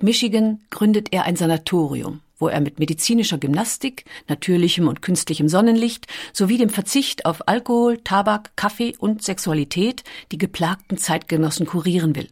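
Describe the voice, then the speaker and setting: A woman reading scripted documentary narration with measured, carefully articulated storytelling. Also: mid-range voice, darkish tone.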